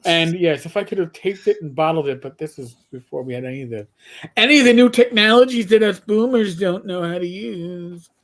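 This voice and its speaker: A man's voice: high-pitched, animated, fairly quick. Middle aged guy hosting a casual stream, kinda rambles through his stories. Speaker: host. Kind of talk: casual livestream chat.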